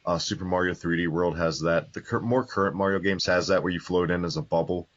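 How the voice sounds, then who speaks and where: Deep husky man talking fast. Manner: quick, opinionated, asks pointed questions. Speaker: podcast co-host. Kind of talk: casual chat about video games. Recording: dull lo-fi podcast audio.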